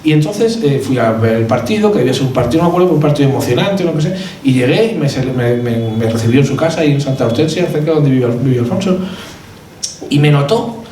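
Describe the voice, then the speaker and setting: Halting Castilian man in an echoey room. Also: mid-range voice, expressive, mellow. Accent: Castilian Spanish. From Madrid, Spain. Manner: halting, rambling, hesitant with repeated words. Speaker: author, poet presenting his book. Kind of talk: informal book presentation talk, anecdotal. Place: event hall at a cultural centre. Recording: roomy echo, distant mic, background noise.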